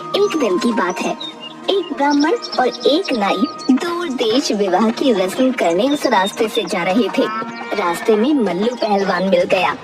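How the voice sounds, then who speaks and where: A woman's voice: mid-range voice, expressive, measured pace, far from the mic, loud music behind the voice. A woman narrating a scripted cartoon story as the storyteller.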